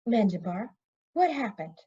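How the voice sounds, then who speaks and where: A woman's voice: medium pitch, very animated, measured pace, mellow, slightly rough, muffled recording. A woman voicing a blunt, sceptical female storybook character who questions people directly. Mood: demanding.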